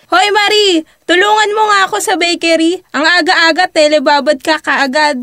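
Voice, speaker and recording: Woman acting scolding mother, Filipino accent, extremely high pitch, sharp tone, nagging, amateur radio drama, dry recording. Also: fairly even intonation, normal speed, dry recording. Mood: bossy.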